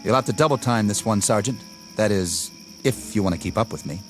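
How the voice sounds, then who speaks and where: An actor playing a zealous young army lieutenant in a radio drama, commanding and scornful in a clipped way. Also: male voice, lowish voice, brisk, lightly acted. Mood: scornful, needling. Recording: radio drama with music underneath.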